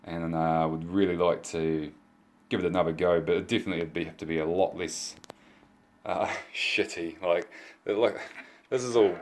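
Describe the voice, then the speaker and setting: A man's voice: sits low, steady tone, normal speed, slight background noise. A man. He demonstrates a homemade project casually and off the cuff. His delivery is hesitant and self-deprecating. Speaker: hobbyist video maker. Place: indoors at a home workbench.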